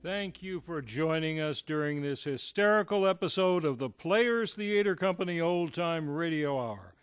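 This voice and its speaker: High-voiced man announcing a radio show. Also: lively intonation, moderate pace, clear, resonant tone, faint music behind. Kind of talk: scripted old-time radio announcing, closing credits. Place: live on a theater stage.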